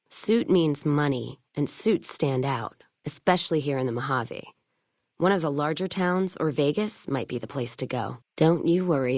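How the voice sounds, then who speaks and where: Gruff wasteland caravan woman, low voice, bitter hard drinker, sardonic game character, really dry close sound. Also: no echo. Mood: grimly resolved. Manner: gruff, sardonic, blunt frontier drawl of speech, dropped words. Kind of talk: video game character dialogue.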